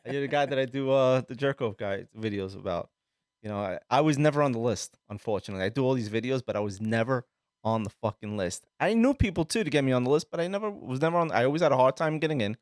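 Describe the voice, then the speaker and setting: Sicilian-born New Yorker in his thirties, loose and animated podcast co-host, mid voice, dry mic. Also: mid-range voice, fast talker, no room sound. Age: 30s. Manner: rambling, animated storyteller, swears. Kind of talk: casual chat.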